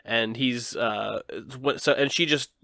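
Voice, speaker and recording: Podcast host, casual chat, deadpan jokes, laughs a lot, dry close sound. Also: mid-range voice, measured pace, creaky, dry recording.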